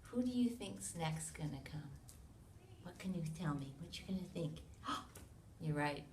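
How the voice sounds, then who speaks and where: Animated, low-pitched American woman reading aloud. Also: unhurried. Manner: expressive, with sound effects and questions to listeners. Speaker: children's read-along reader. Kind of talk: animated picture book read-aloud for young children. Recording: roomy, distant mic with noise.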